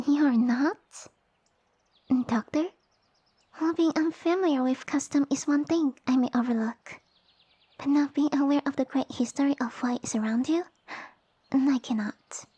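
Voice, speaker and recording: A woman playing a sassy, tiny snake-girl noble with pompous, formal, commanding speech and a soft breathy voice, in an ASMR roleplay. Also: high voice. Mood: haughty, scolding. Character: haughty, sassy young lamia noblewoman, tiny monster girl. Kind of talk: ASMR roleplay audio drama.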